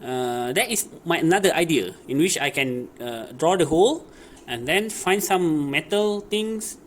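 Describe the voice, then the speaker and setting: A man with a slightly high voice talks through a casual DIY tutorial. His phrasing is improvised and hesitant, with pauses as he looks for words. There is some background noise around him. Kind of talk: casual hands-on how-to narration. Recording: clear background noise, ambient sound.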